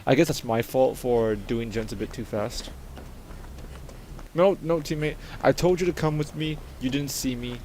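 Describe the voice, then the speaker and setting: A man with a deep voice he pushes louder, giving excitable, teasing commentary while playing a game. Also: normal speed, a little hiss or hum. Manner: excitable, loud, teasing teammates. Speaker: gamer. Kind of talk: casual gaming commentary.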